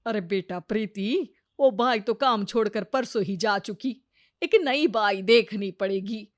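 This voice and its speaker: Woman playing a slow, long-suffering older mother-in-law. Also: mid-range voice, no echo, studio quality, natural voice. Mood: resigned. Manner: patient, plaintive.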